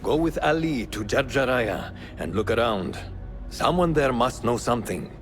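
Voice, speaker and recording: An actor playing a calm, wise male assassin mentor who speaks in a measured, grave way. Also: medium pitch, lightly acted. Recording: game audio with music.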